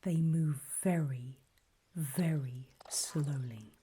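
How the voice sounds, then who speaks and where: A woman whispering softly and breathily in an ASMR roleplay, giving calm, deliberate instructions with pauses, close to the microphone. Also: low-pitched, breathy edge. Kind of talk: whispered ASMR roleplay, scripted guide briefing.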